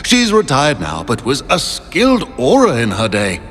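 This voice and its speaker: A man's voice: mid-range voice, huge pitch swings, moderate pace, a bit bright. An actor playing a pompous, courtly, nostalgic old British statesman living on as a portrait in a video game. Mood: grand, courteous. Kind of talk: video game character acting. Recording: long reverberant hall, music underneath.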